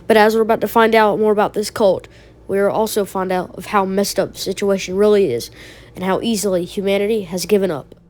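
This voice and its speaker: A woman's voice: mid-range voice, fairly even intonation, a little fast, darkish tone. A woman hosting a video essay, giving explanatory commentary on a horror video.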